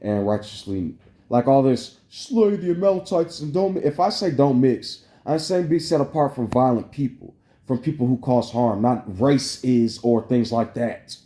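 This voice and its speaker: A man's voice: medium pitch, moderate pace, warm tone. A man delivering a meandering, preachy spiritual monologue to camera, full of "like" fillers. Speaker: vlogger. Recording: roomy echo.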